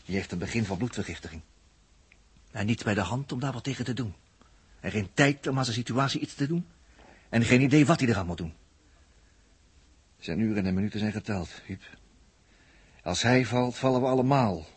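A man with a Netherlands Dutch accent acting a shrewd, confident captive spaceman in a 1960s radio drama, speaking deliberately and with emphasis. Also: medium pitch, husky. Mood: grave. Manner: deliberate, emphatic, with repeated phrases. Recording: vintage 1960s radio recording.

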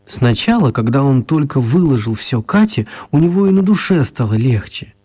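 An actor narrating a children's tale as the storyteller of a radio play. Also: male voice, mid-range voice, expressive, moderate pace, dark tone, husky. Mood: storytelling.

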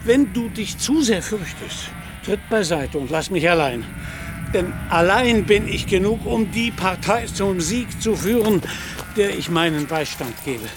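A man's voice: sits high, animated, unhurried, over music. A man in a German radio play, playing a deluded, proud knight-errant with grandiloquent, archaic, declamatory speech. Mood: excited, grandiose.

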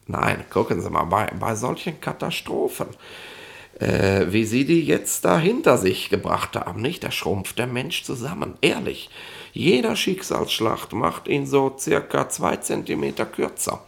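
An actor playing a jovial, overbearing police inspector in a 1960s German radio play, talking down in a rambling, colloquial, gravelly voice. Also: male voice, medium pitch, big pitch swings, unhurried, exaggerated voice. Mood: smug, jovial. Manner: colloquial, rambling, patronising, chuckling bureaucrat.

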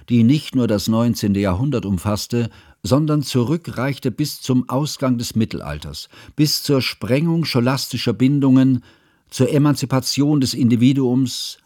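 An actor playing a learned, earnest humanist chronicler, narrating a literary radio play in formal, deliberate phrases. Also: male voice, mid-range voice, a little mellow, no echo, clean studio sound, natural voice.

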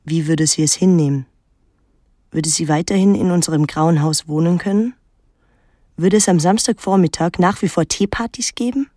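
An actress playing a troubled, watchful daughter who narrates an island mystery in a measured, literary way. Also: female voice, fairly low pitch, dark tone, no echo, clean studio sound, close to an everyday voice. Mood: brooding. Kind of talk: literary radio drama narration and dialogue.

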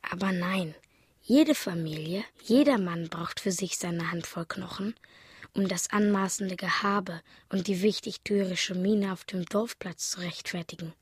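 A woman playing a wondering young village boy in a radio play, speaking in an intimate, hushed way. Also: on the low side, a little slow, slightly rough, no room sound. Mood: hushed, pensive. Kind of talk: radio play acting, inner voice. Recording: studio with faint music behind.

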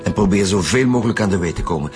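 Brusque detective chief, low voice, commanding, with background music. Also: male voice, expressive, racing. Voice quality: curt, commanding. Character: brusque Flemish police chief inspector. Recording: radio play with background music.

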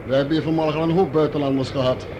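Amid clear street noise, an actor plays a male checkpoint guard with a fairly high voice. He is deferential and chatty, speaking radio drama dialogue. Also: fairly even intonation, very fast, muffled recording, natural voice. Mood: polite, chatty. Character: polite, talkative male subway checkpoint guard. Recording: noisy street and traffic ambience.

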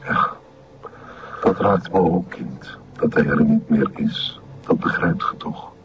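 A menacing Flemish cult master in an audio drama. His voice is extremely low and he speaks very slowly, with commanding, seductive insinuation. The sound is muffled. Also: noisy background. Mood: soft, seductive. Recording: muffled audio with loud music behind.

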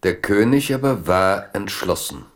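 An actor serving as the composed narrator of a historical German radio drama. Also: male voice, low voice, expressive, unhurried, noisy background. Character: composed storyteller of a historical tale.

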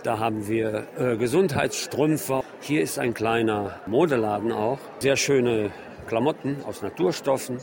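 A man's voice: medium pitch, a little slow, noisy background. A man working as a radio reporter, describing and interviewing on location in an unscripted, descriptive way. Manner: unscripted, descriptive, listing what is around. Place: large indoor exhibition hall at a fair. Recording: busy trade fair hall with crowd. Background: crowd murmur.

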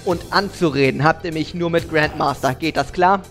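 A man playing a high-voiced arrogant elf hunter. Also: moderate pace, fairly bright tone, soft background music, natural voice. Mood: cocky, bossy. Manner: arrogant, bragging, commanding. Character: cocky, bossy male night elf hunter, panics in battle. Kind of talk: comedic fantasy game audio drama acting.

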